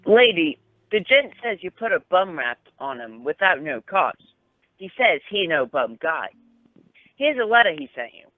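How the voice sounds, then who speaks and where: A man's voice: a little high, big pitch swings, normal speed, no room sound. A man playing a streetwise, eager errand boy in a radio play, talking in ungrammatical slang. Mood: brash, insistent. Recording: narrow phone-like sound, faint guitar music.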